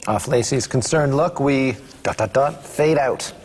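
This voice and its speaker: A man's voice: medium pitch, a little slow, a little mellow. A man reading stage directions aloud from a script at a table read.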